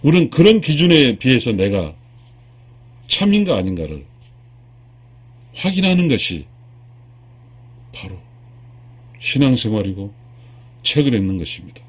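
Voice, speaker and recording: A husky-voiced man in his sixties delivers an earnest spiritual lecture. His pitch swings widely as he stresses and repeats key phrases. He addresses his viewers directly, like a teacher. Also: big pitch swings, measured pace, pauses often. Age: 60s. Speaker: lecturer, video channel host.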